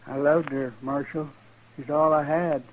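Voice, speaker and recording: An American actor playing a dazed, grieving older homesteader in an old-time radio western, with plain, halting country speech. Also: male voice, on the high side, steady tone, muffled recording, natural voice. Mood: grieving, ashamed.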